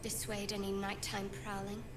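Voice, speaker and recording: A woman playing a dry, sardonic companion in a fantasy game, speaking in a wry, measured way. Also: mid-range voice, completely flat delivery, over music, natural voice.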